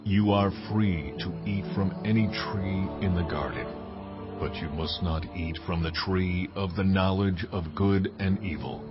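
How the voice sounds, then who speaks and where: A deep-voiced, authoritative God in a scripture audio drama. He declares each line solemnly and with measure. The sound is slightly dull, with music behind. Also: measured pace. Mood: solemn, commanding. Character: God, commanding male creator, solemn and authoritative. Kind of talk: dramatized audio Bible acting.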